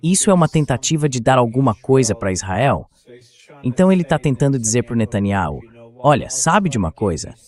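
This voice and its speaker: A man with a Brazilian Portuguese accent, a synthetic voice-over for a conversational news discussion. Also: medium pitch.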